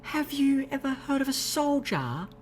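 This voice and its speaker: A woman's voice: on the high side, very animated, unhurried, pitched above the performer's own voice. A woman voicing a graceful, refined unicorn mare who speaks in a prim, ladylike way. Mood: cautious. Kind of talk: audiobook reading of fan fiction.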